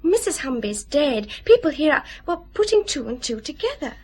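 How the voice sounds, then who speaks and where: A high-voiced, hesitant young office girl. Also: sing-song, darkish tone. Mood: anxious, earnest. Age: young adult. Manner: halting, earnest. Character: hesitant, loyal young female office worker. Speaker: actress in a radio detective drama. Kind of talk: audio drama acting.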